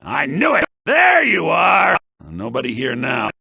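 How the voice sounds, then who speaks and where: A sly, grasping merchant from a fantasy game, with a gravelly, husky male voice pitched high. His delivery is theatrical and swings widely in pitch. The audio is a compressed, low-bitrate game rip. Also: wildly swooping pitch, a little slow, sharp tone, no room sound, raised voice, exaggerated voice. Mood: suspicious. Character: sly fantasy male shopkeeper, grasping, quick to yell at thieves. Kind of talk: video game character barks.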